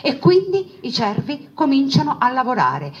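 A woman telling a story as a theatrical monologue, conversational and emphatic, with deliberate repetitions and asides to her listeners. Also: high voice, huge pitch swings. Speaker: storyteller, stage narrator. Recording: dull lo-fi recording with clear background noise.

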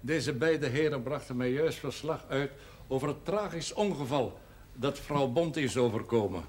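A man's voice: mid-range voice, animated, moderate pace, darkish tone, natural voice. A man acting in a television drama as a courteous, self-possessed parish priest who speaks formally. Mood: polite, composed. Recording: old TV soundtrack with faint noise.

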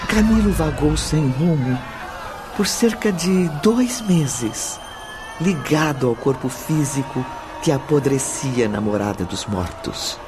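A man's voice: on the high side, expressive, slow pace, noisy background. An actor playing a solemn male storyteller, giving dramatic scripted narration in a radio drama. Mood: ominous.

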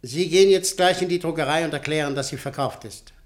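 An actor playing an imperious, ruthless business director in a German radio play, speaking curtly and with cool command. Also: male voice, fairly high pitch, quick pace, natural voice. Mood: businesslike, curt. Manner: curt, commanding, coolly controlled.

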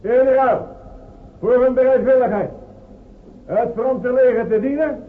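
A man's voice: extremely high pitch, unhurried, far from the mic. An actor playing a stern male prison official who reads out a decree to prisoners in a commanding, barking way. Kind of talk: radio drama acting. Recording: echoey, phone-like lo-fi radio recording.

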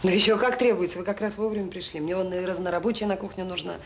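An actress playing a warm, determined director of a children's home in a radio drama, brisk and plain-spoken with hesitant pauses. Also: female voice, on the low side, steady tone, lightly acted. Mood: friendly, frank. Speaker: orphanage director. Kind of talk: naturalistic radio drama acting. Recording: noisy old radio play recording.

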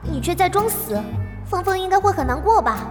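A whiny young female fan acted by a woman in an audio drama, pitched extremely high. She scolds reproachfully through long reverb, with music behind her. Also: very animated, fairly quick, darkish tone.

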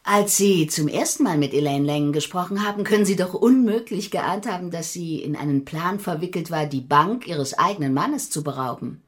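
A woman's voice: lowish voice, moderate pace, few pauses, lightly acted. A woman playing a formal television news interviewer on a crime special in a radio play. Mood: formal, probing. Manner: formal, presenter-like.

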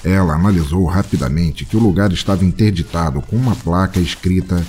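A man with a Brazilian accent narrating a scripted comic audio drama with deadpan, exaggerated storytelling. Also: deep voice, brisk, a little hiss or hum. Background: music bed.

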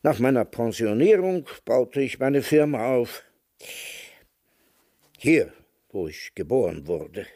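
An actor in a radio drama playing an old, retired emigrant veteran who speaks in a measured, wry, reminiscing way. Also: male voice, mid-range voice, animated, mellow, no room sound, clean studio sound. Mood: wistful, matter-of-fact. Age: older. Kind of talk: German radio drama acting.

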